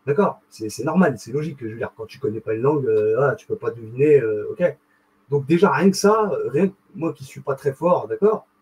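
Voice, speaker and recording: A young man with a husky voice, talking casually and excitedly to his viewers in a rambling, slangy way. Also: medium pitch, brisk, rough recording. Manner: rambling, animated, slangy, addresses viewer directly. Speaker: video host. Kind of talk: casual explanatory monologue.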